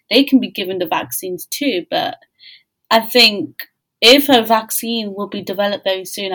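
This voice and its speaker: A woman's voice: mid-range voice, a bit bright, poor audio. A woman hosting a podcast, speaking in a casual, conversational interview style. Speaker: podcast host.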